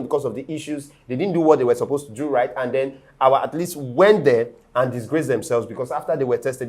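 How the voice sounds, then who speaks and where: Animated Nigerian man hosting sports chat. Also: medium pitch. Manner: animated, teasing, laughs often. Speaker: host. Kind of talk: casual studio sports chat.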